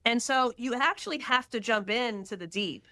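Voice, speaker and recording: A pregnant woman from Texas, a podcast guest who talks in quick, animated bursts and tells stories with dramatic asides. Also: a little high, dry recording, studio quality. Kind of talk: casual faith-centered conversation.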